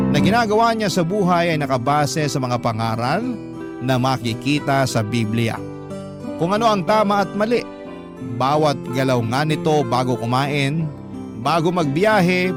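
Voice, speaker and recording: A man with a slightly high voice narrates a personal story for radio. He reads in measured phrases as a host would, with guitar music loud beneath him. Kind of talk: scripted storytelling, reading a listener's letter. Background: prominent guitar music.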